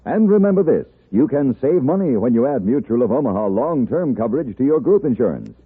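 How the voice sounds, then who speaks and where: A man's voice: mid-range voice, big pitch swings, fairly quick, slightly rough. An American man delivering a scripted sales message as a 1950s radio announcer. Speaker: commercial announcer. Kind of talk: 1950s radio advertisement read. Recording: tinny, narrow-band 1950s radio recording.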